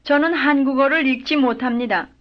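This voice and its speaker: A woman giving careful, measured full-sentence answers as the model speaker in a recorded language drill. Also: sits high. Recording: dull lo-fi old lesson tape.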